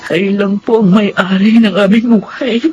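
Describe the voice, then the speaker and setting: Woman playing grieving filipina big sister in a radio drama, tearful n halting, earnest, music underneath. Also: mid-range voice, fairly even intonation, mellow, lo-fi sound, natural voice. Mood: tearful pleading. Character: grieving eldest sister, bereaved mother, pleading and forgiving.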